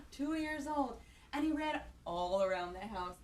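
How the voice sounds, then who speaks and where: High-voiced woman hosting an echoey children's storytime. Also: a little slow. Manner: expressive, gasping, asking the children questions. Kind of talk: animated picture-book read-aloud for young children. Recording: echoey room with faint background noise.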